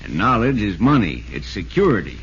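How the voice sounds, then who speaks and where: A chummy sports reporter in 1940s radio style. His delivery is animated and polished for storytelling. The recording is dull with a little noise. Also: fairly low pitch, fairly quick. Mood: earnest, persuasive. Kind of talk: 1940s radio narration and pitch.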